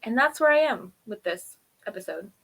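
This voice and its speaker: A woman's voice: fairly high pitch, lively intonation, moderate pace, a bit bright, breathy edge. A woman co-hosting a review show in casual chat, telling stories in an informal, self-deprecating way. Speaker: podcast co-host reviewing TV episodes.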